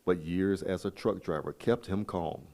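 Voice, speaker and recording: A man field reporter narrating a scripted news story in an even, measured way. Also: low voice.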